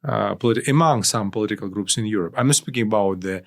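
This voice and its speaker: A man with a Ukrainian accent whose native language is Russian speaks in a slightly low voice. He explains things like an analyst being interviewed. His delivery is halting, circling back over phrases as he searches for the right word. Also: vocal fry. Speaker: political analyst guest. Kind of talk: interview commentary.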